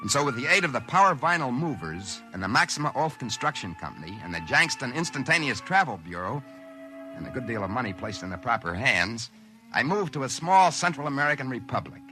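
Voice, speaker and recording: An actor playing a brash, greedy, excitable man in a 1950s radio drama, speaking colloquially in an exclamatory, indignant way. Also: mid-range voice, a little fast, low-quality recording. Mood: smug narrating. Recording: old 1950s radio recording with music.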